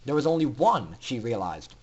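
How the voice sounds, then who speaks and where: A man's voice: mid-range voice, sing-song, moderate pace, slightly rough, lo-fi sound. A man narrating fan fiction in a theatrical, intense style, as a dramatic story reader.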